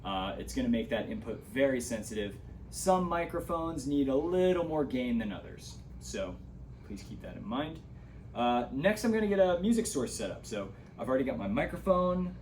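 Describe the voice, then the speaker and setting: Mellow-voiced man giving a casual tutorial. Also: medium pitch, big pitch swings, normal speed, slight background noise. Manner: explanatory, step by step. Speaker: audio gear instructor. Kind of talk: casual hands-on product tutorial.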